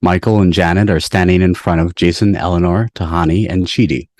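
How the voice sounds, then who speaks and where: A man's voice: low voice, steady tone, brisk, darkish tone, no echo. A man reading scripted stage directions as the narrator of a table read. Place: online video call. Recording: dry video-call audio.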